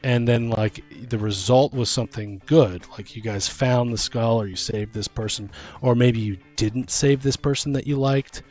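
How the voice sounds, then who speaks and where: A man's voice: medium pitch, fairly even intonation, normal speed, hardly any pauses, darkish tone. A man in a casual, rambling chat, brainstorming ideas as a tabletop game host. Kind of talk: casual chat about fantasy tabletop games. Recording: music playing behind the voice. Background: music.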